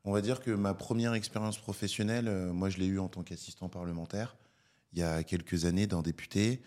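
A man with a Parisian French accent talks through his career as a podcast guest. His voice sits very low and stays level, with little rise or fall. He explains at a measured pace, pausing often on hesitations. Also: fairly even intonation, darkish tone. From France. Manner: measured, filler-heavy, explanatory. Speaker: podcast guest, public affairs director. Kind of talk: casual interview talk.

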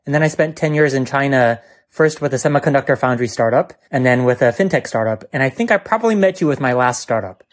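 A man's voice: medium pitch, expressive, fast. A man, a venture fund co-founder, speaking confidently and articulately as a podcast guest. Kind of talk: podcast interview.

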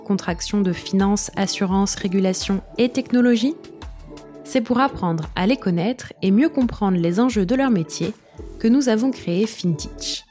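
A woman's voice: medium pitch, dark tone. A woman hosting an interview podcast, reading introductions and putting questions to a guest. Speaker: podcast host.

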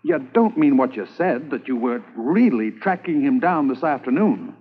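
Stern Mountie sergeant, commanding, lively pitch, 1950s radio drama, phone-thin. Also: male voice, moderate pace, narrow, tinny audio. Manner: commanding, exasperated questioning.

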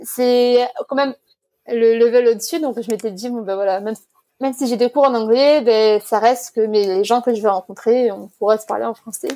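Woman, 20s, higher-pitched voice. Chatty interviewee explaining things in a relaxed, conversational way. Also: moderate pace. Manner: chatty, explanatory, laughs easily. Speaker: interview guest. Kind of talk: casual personal interview.

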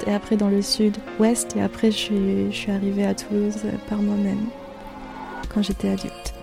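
Young woman in her 20s, gravelly low-key voice, flat delivery, lots of ums and false starts, dry close sound. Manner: hesitant, many fillers and restarts, low-key. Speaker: interviewee giving a personal testimony. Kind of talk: candid personal account.

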